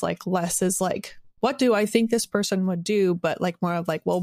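A woman talking casually as an interview guest, thoughtful and halting, a writer discussing craft. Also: on the low side, a little mellow, dry recording, studio quality. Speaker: audio drama writer, podcast guest.

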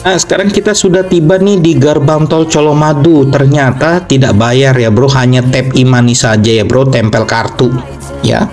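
Male travel vlogger, casual and chatty, mid-pitched voice, music underneath. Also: fairly even intonation, normal speed, darkish tone. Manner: chatty, repeatedly addresses the viewer as bro. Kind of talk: casual road trip narration.

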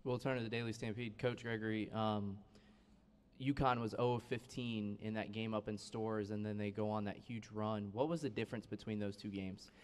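A man's voice: lowish voice, fairly even intonation, normal speed, clean tone. A man, a newspaper reporter, asking a question at a press conference.

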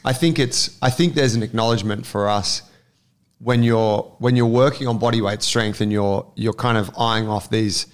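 A man's voice: on the low side, fairly even intonation, moderate pace. A man co-hosting a casual podcast, blunt and wry, swearing casually. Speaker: podcast co-host.

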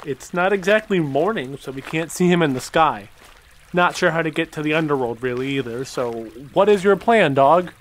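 A man playing an excitable, skeptical joker on an adventure in an audio drama, talking casually and incredulously. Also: fairly high pitch, moderate pace, close to an everyday voice. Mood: incredulous, joking. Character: excitable, skeptical, joking young guy on an adventure.

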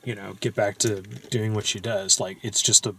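A man with a somewhat low voice talks through a film in a relaxed, meandering way. He fills his sentences with ums and likes and circles back on himself. It sounds like a chat from the front seat of a parked car. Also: normal speed, slight background noise. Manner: loose and rambling, with frequent fillers and restarts. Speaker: movie discussion host. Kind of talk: casual film discussion.

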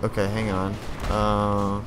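A man with a lowish voice talks slowly through his strategy game, snapping brief orders at units and cursing when things go wrong. His throat sounds worn, and he clears it often. Steady background noise sits under the recording. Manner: barks quick orders at game units, swears freely. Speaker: let's play host. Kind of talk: casual live gameplay commentary.

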